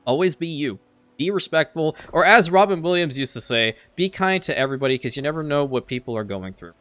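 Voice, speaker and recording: Bright-voiced man chatting over gameplay. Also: on the high side, lively intonation, fairly quick, dry recording. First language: Farsi. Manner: chatty, repeats words as he restarts sentences. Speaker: let's play creator. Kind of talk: casual gameplay commentary.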